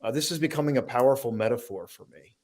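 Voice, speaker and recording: A man co-hosting an inspirational talk show, speaking at an ordinary mid pitch. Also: fast talker, darkish tone.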